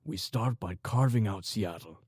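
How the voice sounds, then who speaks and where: A man's voice: fairly low pitch, normal speed, darkish tone, husky, no room sound, clean studio sound. A man voicing a confident, scheming Mexican cartel commander in an audiobook, with a grandiose, self-assured manner. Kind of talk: audiobook character dialogue.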